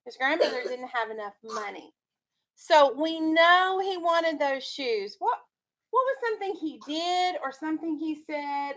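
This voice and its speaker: High-pitched woman teaching a class. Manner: instructive, questioning, checking understanding. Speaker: elementary school teacher. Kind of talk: classroom reading discussion. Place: school classroom.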